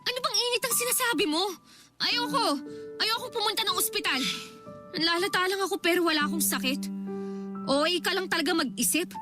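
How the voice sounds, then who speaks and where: An actress playing a sullen, irritable younger sister in a radio drama, whiny, stubborn and defiant. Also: female voice, very high-pitched, a little fast, long pauses. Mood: weary, annoyed. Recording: music behind the voice.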